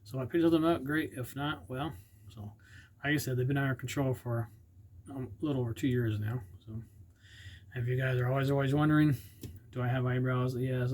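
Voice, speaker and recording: Husky, rambling man vlogging casually. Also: mid-range voice, darkish tone. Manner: rambling, unsure, with many fillers and self-corrections. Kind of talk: casual informational vlog, partly reading aloud.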